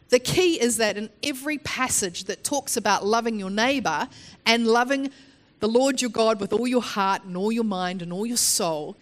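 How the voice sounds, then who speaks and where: A woman preaching a sermon in the open air, sniffly from hay fever, earnest and explanatory with frequent pauses and restarts. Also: mid-range voice.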